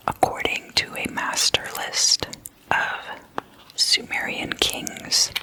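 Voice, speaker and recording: An ASMR host speaking entirely in a whisper, explaining things casually in short, deliberate, hushed phrases. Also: low-quality recording.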